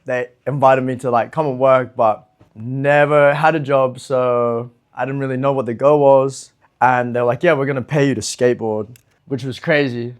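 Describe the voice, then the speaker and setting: A man's voice: medium pitch, fairly even intonation, normal speed, clean tone. A man, talking casually in an interview in a laid-back, rambling way with lots of fillers. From New Zealand. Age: 20s. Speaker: interviewed pro skateboarder.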